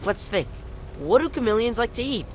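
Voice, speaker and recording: A man playing a cautious, matter-of-fact, wry young mutant in an animated video game. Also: very high-pitched, fairly quick, a little hiss or hum.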